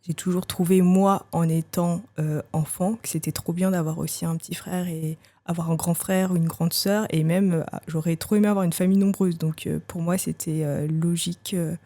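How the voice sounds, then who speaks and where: A woman in her thirties, a podcast guest telling her own story candidly, thinking aloud with frequent hesitant pauses. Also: fairly low pitch, fairly even intonation, mellow. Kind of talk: candid personal testimony.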